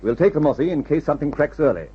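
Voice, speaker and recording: An actor playing a brisk, confident male flying adventurer who leads his team, in the style of a mid-century radio adventure serial. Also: on the high side, big pitch swings, soft background music. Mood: brisk, assured. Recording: muffled, dull old radio serial recording.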